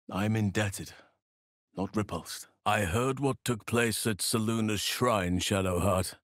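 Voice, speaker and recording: An actor playing a calm, wise elf druid in a video game, speaking in a measured, earnest and formal way. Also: male voice, lowish voice, no room sound, studio quality. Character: calm, wise, burly elf druid man with an animal side. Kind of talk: video game character voice lines.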